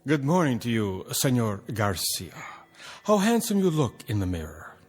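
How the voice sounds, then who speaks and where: An actor playing a weary, good-humoured, self-deprecating rebel soldier in a mid-century television drama. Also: male voice, mid-range voice, wildly swooping pitch, moderate pace, breathy edge, soft background music. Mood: groggy, genial.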